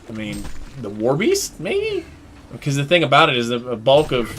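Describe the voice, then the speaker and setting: A man giving live play-by-play commentary on an online team game, excitable and chatty, his voice jumping up during fights. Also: mid-range voice, slight background noise. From United States. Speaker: esports play-by-play caster.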